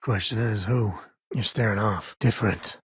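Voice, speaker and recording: An actor playing a wry, laid-back former ranger and space explorer in a video game, a husky man talking in a folksy, conversational way. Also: fairly low pitch, moderate pace, dry recording, studio quality. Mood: wry, casual. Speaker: video game companion character. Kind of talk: video game voice acting, casual dialogue.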